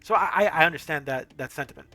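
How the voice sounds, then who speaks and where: An eager young man chats while gaming, often tripping over his first words. His voice is bright and sits a little high. He leans in keenly whenever he agrees. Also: fast talker, faint music behind. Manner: eager, stammering repeats. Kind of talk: casual chat while gaming.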